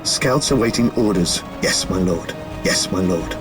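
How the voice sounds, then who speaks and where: An actor playing a dutiful, vigilant space marine scout in a video game, giving clipped military replies. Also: male voice, sits low, expressive, a little slow. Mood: obedient, alert. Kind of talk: short video game unit voice lines. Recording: dramatic music behind.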